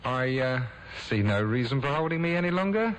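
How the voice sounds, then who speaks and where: A smooth-talking male suspect in a vintage radio drama, mocking and carefully polite, his pitch rising and falling freely, played by an actor. A faint hiss sits under the old broadcast. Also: sing-song, fairly quick, close to an everyday voice. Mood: composed. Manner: suave, mocking, overly polite. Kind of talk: 1950s radio drama acting.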